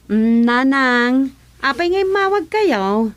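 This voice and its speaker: A woman's voice: high-pitched, very animated, slow pace, over loud music, exaggerated voice. A woman playing a playful, giggly young daughter in a radio comedy drama. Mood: playful, teasing.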